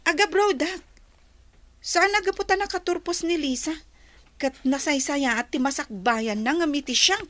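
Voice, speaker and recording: Audio drama role: petulant young daughter voiced by a woman, very high and bright, jealous and driven. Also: moderate pace, no room sound. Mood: determined, resentful.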